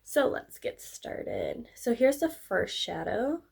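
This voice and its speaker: A young woman, slightly hoarse and congested, chatting casually through a makeup tutorial. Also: a little high, huge pitch swings, slow pace, darkish tone, a little hiss or hum. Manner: chatty, upbeat, trailing off with fillers. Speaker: beauty vlogger.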